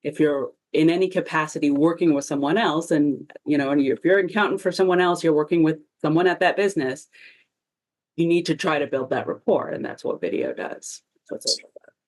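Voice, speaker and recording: A mid-pitched host talks marketing in a relaxed back-and-forth, agreeing and adding anecdotes. The tone is chatty and friendly. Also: brisk. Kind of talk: casual advice conversation.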